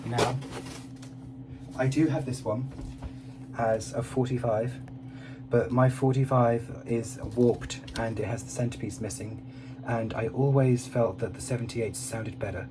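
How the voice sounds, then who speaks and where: Male record collector, casual unboxing commentary, medium pitch, heard at a distance from the mic. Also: fairly even intonation, unhurried. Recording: distant mic with clear background noise.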